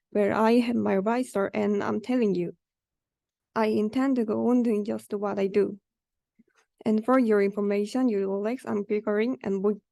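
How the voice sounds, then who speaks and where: A woman's voice: mid-range voice, vocal fry, dark tone, no room sound. A young adult woman student reading a rhyming children's story aloud, stumbling over unfamiliar words. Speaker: student reader.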